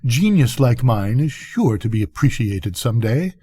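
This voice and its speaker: A man's voice: mid-range voice, very animated, measured pace, warm tone, slightly rough. A man voicing a conceited, haughty firework rocket in a bedtime story, declaiming with great self-importance. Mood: self-satisfied. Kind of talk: storybook character reading.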